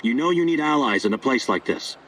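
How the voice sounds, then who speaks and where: A man's voice: medium pitch, lively intonation, fairly quick, fairly bright tone, distant mic, rough recording. An actor playing a scheming, persuasive male doctor in a video game, earnest and wheedling with hesitant turns. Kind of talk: video game dialogue acting.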